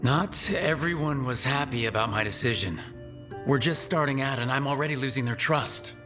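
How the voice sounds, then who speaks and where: A man's voice: mid-range voice, moderate pace, big-room sound, close to an everyday voice. Man as an earnest young hero, music behind. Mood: troubled, doubtful. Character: young male hero, earnest, self-doubting recruit leader. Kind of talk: video game voice acting.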